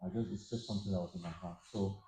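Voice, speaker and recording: Very deep man, echoey hall. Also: steady tone, very fast, dark tone. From Nigeria. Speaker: doctor, panel guest. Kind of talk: panel discussion on stage. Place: large church auditorium. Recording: echoey hall with faint noise.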